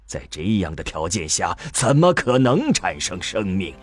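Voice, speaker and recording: An old scholar in a radio drama played by a man, with an extremely deep, husky voice. He lectures patiently and slowly, with halting phrases and wide swings of intonation. The recording is close and dry. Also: very animated, dry recording, soft background music, exaggerated voice. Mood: musing, didactic.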